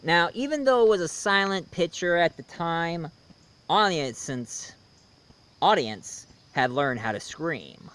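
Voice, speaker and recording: Male reviewer-host, smooth clean tone, slightly high register, opinionated and conversational, talking straight to the audience. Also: expressive, slow, a bit bright. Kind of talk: casual rambling film review commentary.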